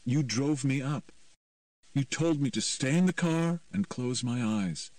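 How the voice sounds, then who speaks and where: A man's voice: medium pitch, lively intonation, a little slow, a little mellow, dry recording, far from the mic, voice pushed up, close to an everyday voice. A man voicing a tormented, suicidal teenage boy whose speech is raw and anguished. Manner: raw, anguished, turning icy cold.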